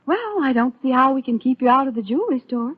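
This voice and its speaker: On a tinny old radio recording, a kindly, composed bride-to-be. Her lowish, husky voice is gently indulgent and moves expressively in pitch. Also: animated, quick pace. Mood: warm, composed. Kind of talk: 1930s radio soap opera acting.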